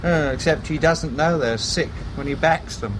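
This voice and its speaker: A man's voice: on the high side, moderate pace, lightly acted. An actor as a deferential henchman, noisy car. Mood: obliging. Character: deferential male driver and underworld assistant. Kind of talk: radio drama acting. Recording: clear vehicle noise behind.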